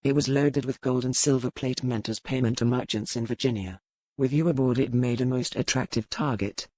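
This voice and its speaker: An actor playing a dangerous, brooding pirate earl in a romance audiobook, calm and measured, with formal old-fashioned phrasing. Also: male voice, medium pitch, no echo, lightly acted. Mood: calm, matter-of-fact. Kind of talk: dramatized romance audiobook dialogue.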